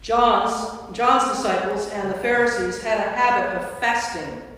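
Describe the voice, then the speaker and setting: Woman narrator reading bible story, measured, far from the mic in a big boomy church. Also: fairly even intonation, a bit bright. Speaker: narrator, Episcopal priest. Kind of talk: scripted narration of a staged scripture reading. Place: large church interior. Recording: very echoey church, distant mic.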